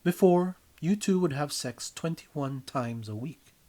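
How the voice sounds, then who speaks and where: A man narrating a scripted story in a theatrical, emphatic way, with deliberate phrasing and a husky voice. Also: fairly high pitch, lively intonation, warm tone. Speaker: writer and reader of own story. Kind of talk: dramatic scripted story narration in second person.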